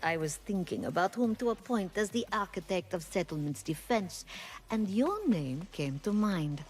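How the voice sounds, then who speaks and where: An actress playing a poised, businesslike settlement official in a fantasy video game, speaking formally and deliberately. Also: female voice, medium pitch, animated, a little mellow, faint music behind, lightly acted. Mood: composed, challenging.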